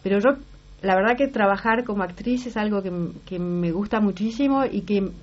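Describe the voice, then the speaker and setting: A woman's voice: mid-range voice, a little fast. A middle-aged woman from Uruguay with a Rioplatense accent, an actress and singer, answering interview questions thoughtfully and often restarting her sentences. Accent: Rioplatense Spanish. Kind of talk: casual interview talk. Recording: slightly muffled broadcast audio.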